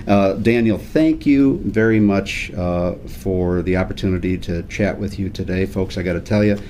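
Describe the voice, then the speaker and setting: A man's voice: low-pitched, normal speed, warm tone, slight background noise. A man hosting a casual interview, chatty and friendly, often repeating and restarting words. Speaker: interview host.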